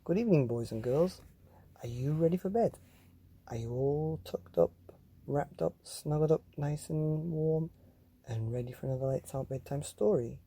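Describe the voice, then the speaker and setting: A man reading a children's bedtime story as a podcast host, speaking straight to young listeners in drawn-out, dramatic phrases. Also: fairly high pitch, dark tone, slightly rough.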